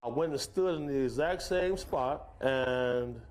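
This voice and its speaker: A man's voice: mid-range voice, unhurried, darkish tone. A man giving a plain spoken eyewitness account.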